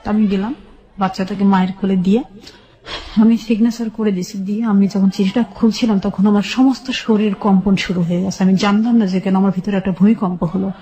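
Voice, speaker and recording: Dark-voiced Bangladeshi woman recounting her life. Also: medium pitch, fairly even intonation, a little fast, low-quality recording. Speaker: guest telling her life story. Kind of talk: personal storytelling in an interview.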